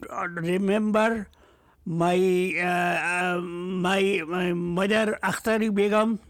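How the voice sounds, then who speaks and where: A man in his seventies with a Pakistani accent, a native Urdu speaker, answering his son's interview questions in a halting, formal way full of fillers. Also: high-pitched, dry recording. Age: 70s. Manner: hesitant, halting, many fillers, formal phrasing. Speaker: interview guest. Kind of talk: family interview.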